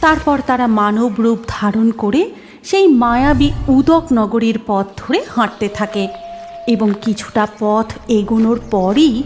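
A woman's voice: fairly high pitch, measured pace, a little mellow. A woman narrating a scripted cartoon fairy tale as its storyteller. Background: music.

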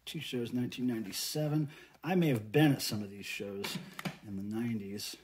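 A man's voice: medium pitch, a little fast, darkish tone, slight background noise. A middle-aged American man talking casually in a folksy, informal way, as in an unboxing vlog at home. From United States. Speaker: vlogger, record collector and fan site manager. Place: small indoor room at home.

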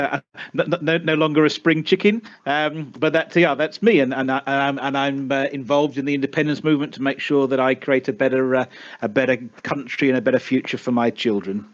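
Halting middle-aged British man, lo-fi. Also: medium pitch, steady tone. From United Kingdom. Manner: halting, many restarts and fillers. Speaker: spokesman of an advocacy group. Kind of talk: Q&A answers over voice chat. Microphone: voice chat mic. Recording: dull lo-fi voice chat.

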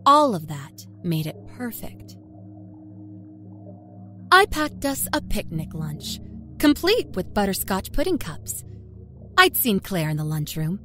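A woman narrates dark fiction in the first person. Her delivery is expressive and dramatic, with lively pitch movement over a soft musical score. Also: slow, pauses often, soft background music. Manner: expressive, storytelling.

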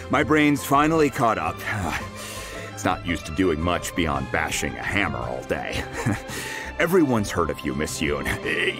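Game blacksmith, low male voice, lively intonation, gruff yet friendly, sheepish, faint music. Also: exaggerated voice. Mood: sheepish, amused. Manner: hesitant, chuckling, self-deprecating. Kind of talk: video game voice acting.

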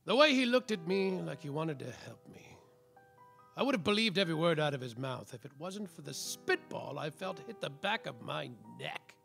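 A hard-boiled, semi-sober detective played by a man narrates a radio spoof. The voice is bright and forceful and swings widely between melodrama and deadpan, with music underneath. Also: a little high, normal speed, vocal fry, heavily acted. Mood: dramatic, excited. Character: world-weary, semi-sober private detective, melodramatic and crude. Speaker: lead actor and narrator. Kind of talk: slapstick noir radio play narration.

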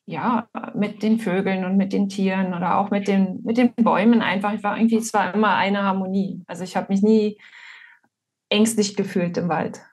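Female guest author, 40s, German accent. Husky, breathy tone, mid pitch, reflective and halting storytelling. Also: steady tone, darkish tone. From Potsdam, eastern Germany. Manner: halting, thoughtful. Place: indoor room at home, on a video call.

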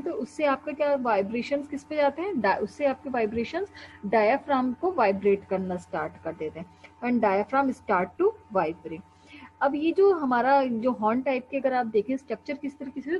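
A young woman with an Indian accent teaches in an explanatory lecture style, pausing on little check words. Her voice sits a bit high. The recording is dull and lo-fi, with a faint hum behind her. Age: young adult. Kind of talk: explanatory classroom lecture mixing Hindi and English.

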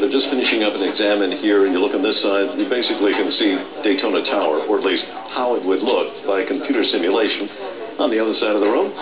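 Male news correspondent, middle-aged, American accent, reporting style, muffled echoey TV capture. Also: on the low side. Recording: dull roomy TV sound filmed off screen, noisy.

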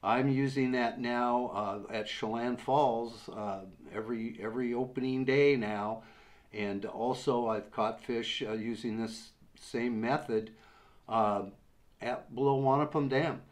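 An older man in his sixties, slowly and patiently explaining a fishing rig step by step, seated at a table in a small room. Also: medium pitch, steady tone. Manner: slow, patient step-by-step explaining with many uhs. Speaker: fishing instructor. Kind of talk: how-to demonstration. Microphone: camera in front at desk distance.

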